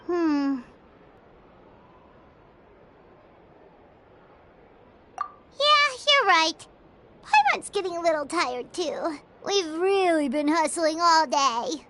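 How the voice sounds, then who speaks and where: A woman's voice: extremely high pitch, animated, slow pace, very noisy, exaggerated voice. A woman voicing a small, chirpy, childlike fairy companion, curious and eager, in a video game. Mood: tired, agreeable. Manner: refers to self in third person, exclaims questioningly. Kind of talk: animated video game voice acting.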